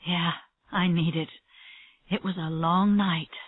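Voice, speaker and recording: A woman's voice: lowish voice, fairly even intonation, takes it slowly. A woman reading a storybook, playing a tired, proud woman detective with a dry, understated manner. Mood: weary. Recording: roomy, slightly dull lo-fi audio.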